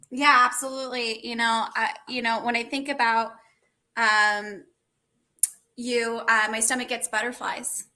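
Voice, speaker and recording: A woman competing in a pun contest, talking in a slow, drawn-out, sweet way over a video call. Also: a little high, flat delivery, sharp tone. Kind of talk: pun banter.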